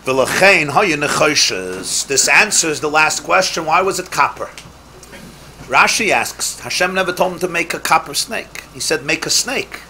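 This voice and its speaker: A man who is a rabbi giving an animated Torah class, stressing key points loudly and weaving in Hebrew terms. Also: on the high side, a little slow, slight background noise. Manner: emphatic, rises sharply for key points, mixes in Hebrew terms.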